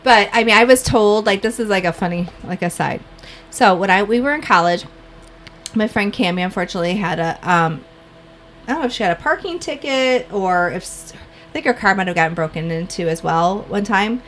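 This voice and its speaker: A woman's voice: mid-range voice, expressive, fairly quick, a bit bright, a little hiss or hum. A woman from Illinois with a Chicago accent, co-hosting a casual podcast and rambling through giggly asides. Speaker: podcast co-host.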